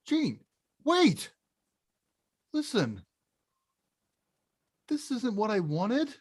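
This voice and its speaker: A woman voicing a big, hot-tempered but caring male video game villain in a script read, with a blunt, gruff delivery. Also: a little high, wildly swooping pitch, extremely slow, no room sound, studio quality, heavily acted. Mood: lost, dejected. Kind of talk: animated film script table read.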